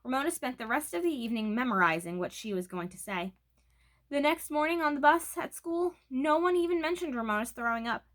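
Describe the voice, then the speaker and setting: A woman's voice: sits high, lively intonation, fairly quick, a bit bright. A woman reading a children's book aloud as narrator. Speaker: storybook reader.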